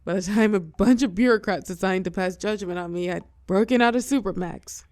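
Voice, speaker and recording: A woman playing a weary telepath supervillain and fallen hero, tender yet menacing, in an intimate audio roleplay with dramatic pauses. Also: mid-range voice, a little mellow, no room sound, natural voice. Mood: bitter, wry. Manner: soothing pet names, wry bitterness, dramatic pauses, drops into whispers.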